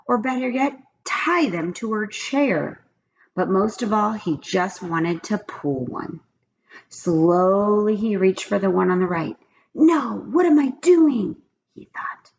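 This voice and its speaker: A woman narrating a children's story in an expressive read-aloud style. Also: lowish voice, gravelly. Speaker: storybook reader. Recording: muffled recording with background noise.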